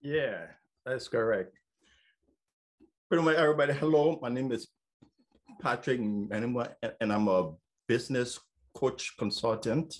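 Slow-speaking man, a business coach who stutters. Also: medium pitch. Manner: person who stutters, with part-word repeats and "you know" fillers. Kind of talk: casual panel talk.

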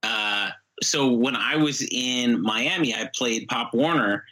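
A man co-hosting a comedy podcast in casual banter, telling stories and asking quick questions. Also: mid-range voice, sharp tone, low-quality recording. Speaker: podcast co-host.

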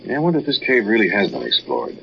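An old, muffled radio recording with faint noise features a curious young traveller. His voice is on the low side, casual and musing, with a cautious streak typical of a careful companion. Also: wildly swooping pitch, fast talker. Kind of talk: 1940s radio acting.

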